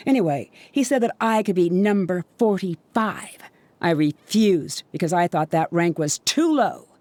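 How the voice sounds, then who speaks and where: A woman's voice: mid-range voice, darkish tone, dry recording, natural voice. A woman voicing a proud, resentful young woman in a video game, speaking in a sarcastic, curt manner.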